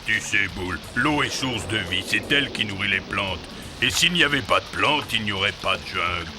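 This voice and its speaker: A man's voice: lowish voice, animated, moderate pace, music in the background, lightly acted. A man playing a kindly old king of the trees in a French cartoon dub, speaking in a grandfatherly, ceremonious manner. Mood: kindly, solemn. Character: kindly old tree king, solemn and slow.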